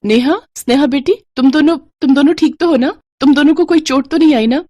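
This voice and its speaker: A woman's voice: high voice, fairly quick, mellow, voice pushed up, lightly acted. A woman voicing a caring, resolute poor mother in a moral story, earnest and soothing. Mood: worried.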